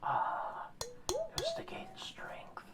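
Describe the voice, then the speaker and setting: A performer voicing a wise male orca guardian of Atlantis in a slow, soft ASMR whisper, quizzing the listener, a little distant from the mic. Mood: hushed, curious. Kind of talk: ASMR roleplay.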